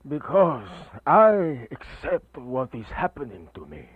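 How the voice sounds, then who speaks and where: An actor playing a grave, resigned priest turned vampire, speaking in measured, theatrical radio horror style. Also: male voice, mid-range voice, sing-song, background noise. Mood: resigned, rueful. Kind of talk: radio horror play acting. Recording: thin phone-like band-limited audio with music.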